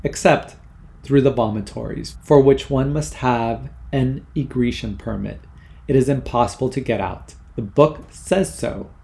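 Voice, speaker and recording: A man's voice: medium pitch, a little slow, vocal fry, mellow. A man reading a prim, haughty mother. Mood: indignant. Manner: fussy, indignant, superior. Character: prim haughty middle-aged mother, machine-devoted.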